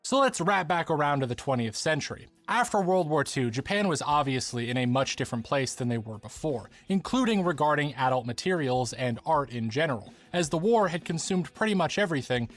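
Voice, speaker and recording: A man's voice: a little high, expressive, brisk, few pauses, fairly bright tone. A man reading scripted documentary narration for a video essay.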